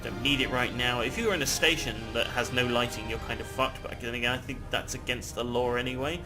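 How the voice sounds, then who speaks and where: A man's voice: medium pitch, fairly even intonation, quick pace, music in the background. A man casually chatting and rambling while he plays a game, swearing freely and laughing often. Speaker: gameplay video host. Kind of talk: casual gameplay commentary.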